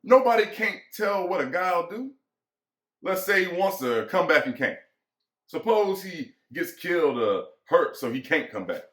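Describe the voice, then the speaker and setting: A man's voice: sits high, sing-song, measured pace, a bit bright, full character voice. A man acting as bitter, lonely American ranch hand, echoey. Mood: taunting. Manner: folksy rural vernacular, musing and pressing. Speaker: actor. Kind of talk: dramatic reading of a novel. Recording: echoey room.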